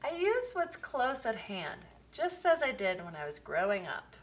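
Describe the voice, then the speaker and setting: A woman reading a children's picture book aloud, with expressive, deliberate phrasing. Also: on the high side. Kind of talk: storybook read-aloud for children.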